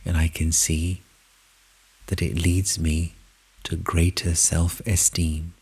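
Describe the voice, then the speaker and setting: A man speaks in a very low, extremely breathy and husky voice. He leads a guided meditation at a very slow pace, placing each short phrase deliberately and leaving long pauses between them. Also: flat delivery, darkish tone, rough recording.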